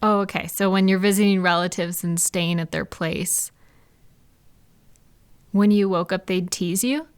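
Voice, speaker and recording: A woman's voice: on the low side, fairly even intonation, unhurried, pauses often, clean tone, natural voice. A woman playing a caring, chatty girlfriend in an intimate one-sided roleplay audio, talking affectionately and reassuringly to a partner. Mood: protective, concerned. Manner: soft-hearted, reassuring, playful, affectionate. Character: caring, gentle, chatty girlfriend comforting a night-owl partner.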